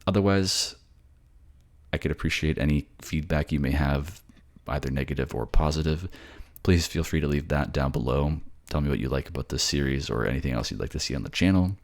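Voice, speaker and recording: A man's voice: very deep voice, a little fast. A man hosting his audio channel, talking casually to listeners in a polite, appreciative, somewhat hesitant way. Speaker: voice actor, channel host.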